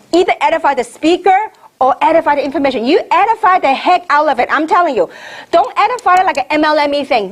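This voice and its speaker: A middle-aged woman from Hong Kong speaks as a seminar trainer, emphatic and repetitive, throwing rhetorical questions at her listeners. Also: sits high. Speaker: network marketing trainer giving a seminar. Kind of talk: motivational sales training talk to a room.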